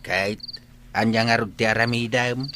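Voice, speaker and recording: A man's voice: mid-range voice, fairly even intonation, normal speed, close to an everyday voice. An actor playing a plain-spoken, fond village father in a radio drama, talking in a folksy, emphatic way. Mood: advising. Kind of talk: Ilocano radio drama acting. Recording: noisy track with clicks and insects.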